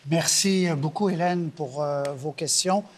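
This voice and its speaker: A debate moderator introducing topics and guests in a crisp, formal manner. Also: mid-range voice, measured pace, slight background noise.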